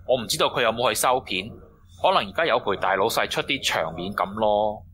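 A man's voice: fairly low pitch, lively intonation, fairly quick, pushed and bright, slight background noise. A man acting a cagey, streetwise informant in a radio drama, using slangy Hong Kong Cantonese. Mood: cagey, knowing.

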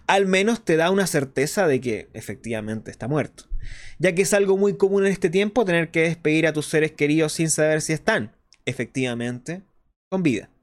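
A man playing an earnest, explanatory host of a clandestine resistance radio show, in a scripted monologue with deliberate phrasing. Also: a little high, close to an everyday voice. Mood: somber, reflective. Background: music behind the voice.

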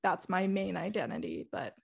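A woman's voice: fairly low pitch, sing-song, normal speed, gravelly, slightly rough. A young woman from Singapore talks in a loose, chatty way. She sounds a little self-conscious and fills her sentences with filler words. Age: young adult. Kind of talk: casual chat.

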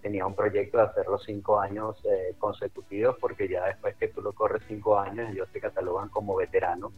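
A friendly, chatty man in his fifties with a Caracas Venezuelan accent reminisces as a radio guest, often restarting his sentences. His voice sits lowish and comes through dull and lo-fi. Faint music plays behind him. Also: little pitch movement, a little fast, husky. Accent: Caracas Venezuelan Spanish. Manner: friendly and chatty, with frequent restarts and light laughs. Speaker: running club director.

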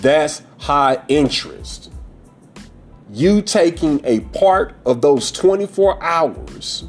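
Husky, blunt-talking man ranting about dating. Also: on the high side, very animated, takes it slowly, soft background music. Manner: blunt, profane, preachy storytelling. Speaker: podcast host. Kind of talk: casual monologue on dating.

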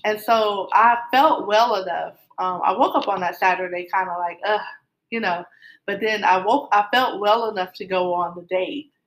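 A woman's voice: mid-range voice, normal speed, a bit bright, rough recording. A middle-aged woman casually telling her love story, rambling with many fillers and restarts.

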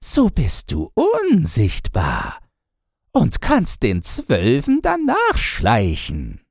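A man's voice: mid-range voice, huge pitch swings, very slow, creaky, no echo, clean studio sound, pitched above the performer's own voice, heavily acted. A man voicing an old woman who gives sly, knowing advice in a fairy tale audiobook. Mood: knowing, advising.

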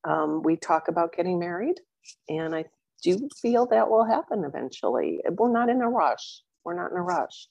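A woman's voice: lowish voice, very animated, normal speed, vocal fry, dry recording, dull audio. A middle-aged woman talking openly as an interview guest, chatty and candid with frequent ums. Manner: chatty and open, with many ums and warm asides. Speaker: interview guest, coaching client.

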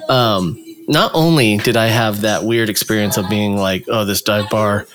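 A man co-hosting a casual chat from home, animated and joking, with an irreverent way of talking. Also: lowish voice, moderate pace. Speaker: podcast co-host. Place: home in Long Beach.

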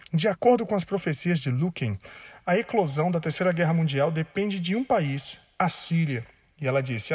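A man's voice: sits high, no room sound. Jokey Brazilian man narrating, dry sound. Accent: Brazilian Portuguese. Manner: jokey, with slang asides and stumbles over names. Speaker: video host and narrator. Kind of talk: casual narrated commentary video.

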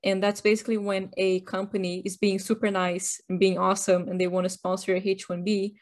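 A woman's voice: mid-range voice, level tone, a little fast. Chatty, wry woman co-hosting a podcast. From Brazil. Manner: wry, joking, chatty explainer. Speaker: podcast co-host. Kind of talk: casual chat.

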